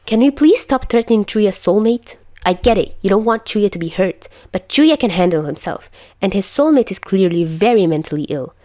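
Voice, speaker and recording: A woman's voice: mid-range voice, expressive, moderate pace, mellow, no echo, voice pushed up, lightly acted. Woman as firm schoolgirl, raised scolding voice. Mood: firm, exasperated. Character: firm, protective, exasperated teenage schoolgirl. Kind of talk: audio fan fiction reading.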